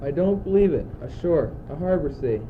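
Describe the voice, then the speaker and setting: A man's voice: fairly high pitch, animated, moderate pace, natural voice. A teenage male student acting in a school radio play as an anxious, exasperated, brooding young courtier, declaiming in clipped phrases. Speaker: student actor. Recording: muffled phone-like old tape, loud noise.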